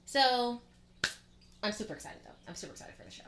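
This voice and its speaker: A young woman podcast co-host chatting casually, gushing and excitable, often laughing. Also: on the high side, fast talker, slight background noise. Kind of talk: casual chat. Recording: roomy, echoey sound.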